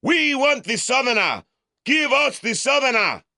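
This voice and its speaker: A man voices a howling crowd of northern men, screaming high and loud from farther away. The sound is harsh and bright. The studio is dry. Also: big pitch swings, a little slow, vocal fry, dry recording, full character voice. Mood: shouting. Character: angry mob of men shouting.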